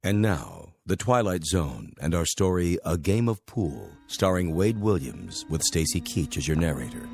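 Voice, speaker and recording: A man's voice: low-pitched, sing-song, moderate pace, few pauses, over music. A man announcing a radio drama in a scripted style.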